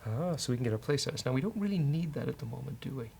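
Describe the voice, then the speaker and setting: A man with a creaky, gravelly voice talks through his code as he goes. He is casual and a bit hesitant, often muttering to himself. There is faint noise behind him. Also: mid-range voice, expressive, very fast, a little mellow. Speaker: developer and show host. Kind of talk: casual live coding commentary, thinking aloud.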